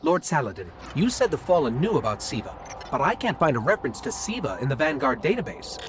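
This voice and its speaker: An actor playing a small, inquisitive robot companion in a video game, expressive and wry, with a touch of worry. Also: male voice, a little high, fast, background noise. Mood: puzzled. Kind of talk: cinematic video game acting. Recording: music under the voice. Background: game score.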